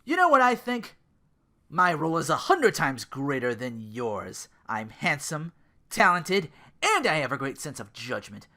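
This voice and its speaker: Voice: mid-range voice, huge pitch swings, a little slow, sharp tone, voice pushed up, full character voice. A performer voicing an arrogant, bossy thirteen-year-old prince in a dramatic fan fiction reading, boastful and petulant.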